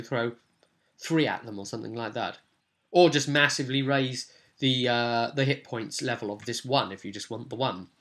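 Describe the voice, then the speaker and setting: A man's voice: mid-range voice, fairly bright tone. A British man giving casual, unscripted commentary on a tabletop role-playing session as game master, in a rambling, colloquial way.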